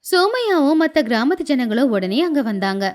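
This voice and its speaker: A woman narrating a scripted animated moral tale as a storyteller. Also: high-pitched, normal speed.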